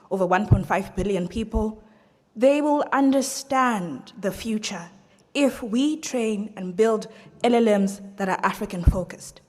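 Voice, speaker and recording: A woman's voice: medium pitch, a little mellow. A woman answering her opponents in a live debate, earnest and appreciative. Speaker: debater for the proposition. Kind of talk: debate response. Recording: reverberant hall.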